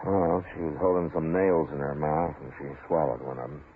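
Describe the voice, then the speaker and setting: Steady western marshal, very deep voice, old radio drama, narrow noisy recording. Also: male voice, a little fast. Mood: grave, firm. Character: stern, steady frontier marshal with dry humor. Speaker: actor and narrator in a radio western. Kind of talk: old-time radio western acting and narration.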